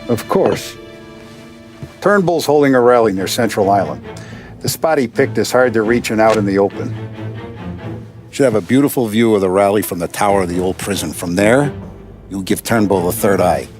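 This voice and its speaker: Actor as quietly menacing American mob boss. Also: male voice, lowish voice, faint music behind, close to an everyday voice. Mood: cold, commanding. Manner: deliberate, authoritative, veiled threats. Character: commanding male Mafia boss, calm and quietly menacing. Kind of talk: cinematic crime game acting.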